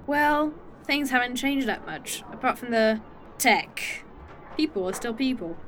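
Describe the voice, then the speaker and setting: A woman's voice: on the high side, animated, a bit bright, faint music behind. Dry-witted time traveler, voiced by a woman. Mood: wry. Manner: dry, teasing, quick-witted. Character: snarky woman time traveler with a fake arm. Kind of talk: indie audio drama acting.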